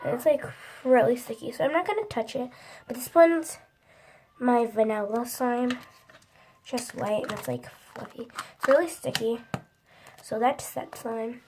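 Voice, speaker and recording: A woman's voice: on the high side, measured pace, long pauses, darkish tone, a little hiss or hum. A teenage girl vlogging into her phone, rambling with lots of likes and restarts, sounding congested from a runny nose. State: stuffy, congested from a cold. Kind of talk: casual chat to camera.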